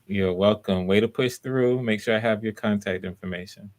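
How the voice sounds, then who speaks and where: Low-pitched man hosting live auditions. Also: moderate pace. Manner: encouraging. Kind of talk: casual live hosting and feedback.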